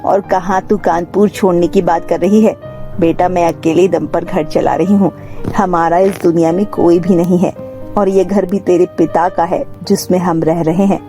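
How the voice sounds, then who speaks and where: A woman's voice: on the low side, steady tone, normal speed, mellow, soft background music, close to an everyday voice. A woman playing a poor, hardworking, reassuring mother in a cartoon moral story. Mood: reassuring, firm.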